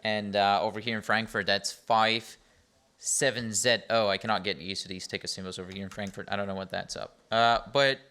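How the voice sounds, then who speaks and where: Man, event host, smooth clear voice, casual intro, some fillers. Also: fairly low pitch, level tone, fairly quick, bright, forceful tone. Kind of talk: introducing a speaker. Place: conference hall.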